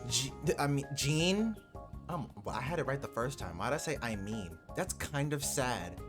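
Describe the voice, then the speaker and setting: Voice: medium pitch, lively intonation, normal speed. A gaming video host giving casual, slangy, sarcastic and mock-exasperated reaction commentary over gameplay. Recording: game music behind the voice. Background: game music.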